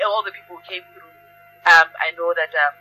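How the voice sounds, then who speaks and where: A South African woman with a very bright, forward voice talks as a guest in a conversational interview. She is emphatic and often repeats phrases to press a point. Faint music sits behind her. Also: fairly low pitch, steady tone, brisk, low-quality recording. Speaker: guest, playwright and academic.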